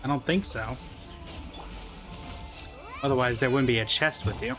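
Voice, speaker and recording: A man's voice: mid-range voice, animated, normal speed, gravelly, over music. A man hosting a game reaction video, casually musing to himself while he plays. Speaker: gaming channel host.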